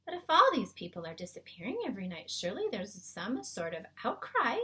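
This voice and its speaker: A woman's voice: medium pitch, huge pitch swings, fairly quick, bright, forceful tone. A woman voicing a young girl in a children's storybook, troubled and questioning, with an earnest, protesting manner. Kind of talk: children's storybook read-aloud.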